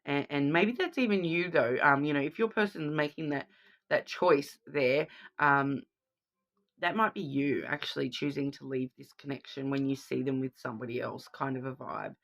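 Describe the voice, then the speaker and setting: Woman card reader with a head cold, sniffly, gravelly edge, chatty, keeps checking in with viewers. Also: rumbling low, normal speed, a bit bright. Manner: chatty, frequent "okay?" check-ins. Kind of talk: casual card reading to viewers.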